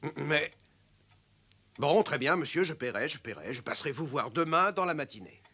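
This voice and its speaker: A man voicing a kindly, courteous, reassuring gentleman in a cartoon, speaking in a measured way. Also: fairly high pitch, lively intonation, slightly rough, exaggerated voice. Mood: resigned. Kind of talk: cartoon voice acting.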